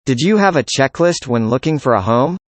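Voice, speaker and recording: A man reading a student's part in a scripted classroom conversation, with an even, measured delivery. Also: medium pitch, dry recording. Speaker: student in a dialogue.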